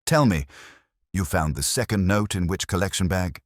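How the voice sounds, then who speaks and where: A man playing a mild, thoughtful police inspector in a mystery story, speaking in a measured, cryptic and formal way. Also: low voice, expressive, no room sound, clean studio sound, pitched above the performer's own voice. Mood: mild, musing.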